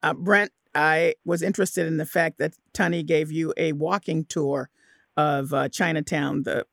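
A woman hosting a radio interview, with a creaky edge to her voice. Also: deep voice, fairly even intonation, a little slow, no room sound, studio quality. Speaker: radio host.

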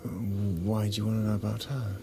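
An actor playing an insecure, pleading husband in an intimate audio drama, halting and stammering, sometimes breathy. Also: male voice, lowish voice, flat delivery, mellow, a little hiss or hum, lightly acted. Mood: defensive, desperate. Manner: halting, stammering, repeats himself. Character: pleading, insecure, flustered husband in a failing marriage. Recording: music under the dialogue.

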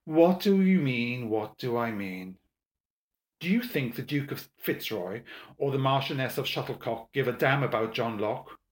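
A man voicing an arrogant, mischievous young English gentleman in an audiobook, drawling and mocking, with drawn-out emphatic words. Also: mid-range voice, roomy sound, lightly acted. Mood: mock-sympathetic, scornful. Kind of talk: audiobook character dialogue.

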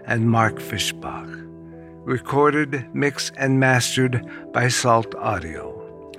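A man reading scripted credits as an announcer, in a measured, neutral manner. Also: mid-range voice, a bit bright.

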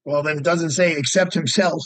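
A man's voice: a little high, fairly even intonation, normal speed, a bit bright, dry recording. A man appearing as a lawyer guest in a radio interview, talking through his ideas aloud in casual chat.

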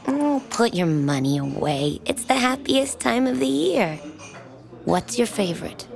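Small-town diner waitress, unhurried, gravelly edge, wry, teasing, direct, audio drama. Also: female voice, fairly high pitch, very animated, a crowd in the background, exaggerated voice. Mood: friendly, brisk. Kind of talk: naturalistic audio drama dialogue.

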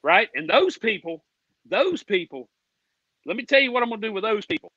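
A man's voice: high voice, very animated, fairly quick, bright, forceful tone, no echo, muffled recording. An American man, a guest on a racing fan show, ranting in a combative, indignant, folksy way with plenty of swearing. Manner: folksy, with "ain't" and "y'all". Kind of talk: heated ranting.

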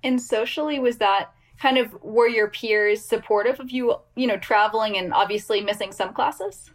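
A woman's voice: on the high side, normal speed. A woman hosting a podcast, reading a polished introduction and asking interview questions. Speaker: podcast host.

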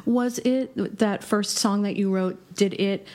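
A woman's voice: medium pitch, fairly even intonation, slow pace, darkish tone. A woman hosting a radio music show, asking thoughtful, probing questions of her guest. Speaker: radio host. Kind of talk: casual radio interview.

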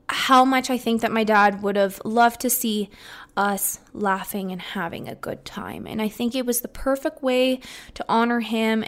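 A young woman chats through her solo podcast in an upbeat, rambling way, her voice sitting a little high. She restarts sentences often and keeps a casual, personal tone. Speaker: podcast host.

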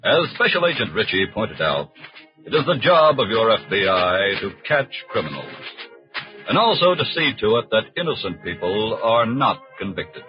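A man's voice: fairly low pitch, sing-song, moderate pace. 40s american radio announcer guy, formal but swooping delivery, music under him, muffled old recording. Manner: formal, solemn, emphatic. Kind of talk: 1940s radio scripted narration. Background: music behind the voice.

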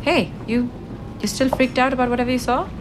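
A woman's voice: high-pitched, steady tone, fairly quick, noisy background, close to an everyday voice. A woman playing a loyal, blunt diving teammate, direct and probing, in a naturalistic audio drama. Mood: concerned. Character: loyal, blunt, supportive woman on a dive team.

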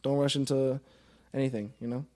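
A man's voice: mid-range voice, flat delivery, a little fast, dark tone. A man in his early twenties talking casually and earnestly as a podcast guest, informal and given to swearing.

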